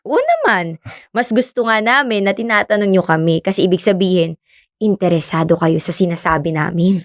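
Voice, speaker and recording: A woman's voice: medium pitch, very animated, moderate pace, no echo, clean studio sound, natural voice. An actress with a Filipino accent playing a friendly, modest young woman who teases lightly in a conversational radio drama. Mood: teasing, reassuring. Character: friendly young woman, government fisheries speaker, modest and teasing.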